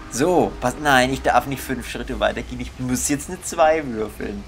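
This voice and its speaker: A man's voice: mid-range voice, normal speed. Grumbling young male gamer over background noise. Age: young adult. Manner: grumbling, comically exasperated. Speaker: let's player. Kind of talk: casual gaming commentary.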